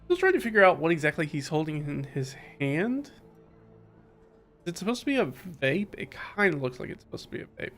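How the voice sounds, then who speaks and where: A man with a slightly high voice talks casually through a video game. He muses and hesitates as he thinks aloud, with music playing behind him. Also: big pitch swings, fairly quick, a bit bright. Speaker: game streamer. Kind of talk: casual playthrough commentary mixed with reading game dialogue aloud.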